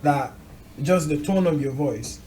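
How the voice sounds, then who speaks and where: A man's voice: fairly high pitch, unhurried, dark tone, far from the mic. A man interviewing a guest in casual conversation. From Jamaica. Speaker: interviewer, podcast host.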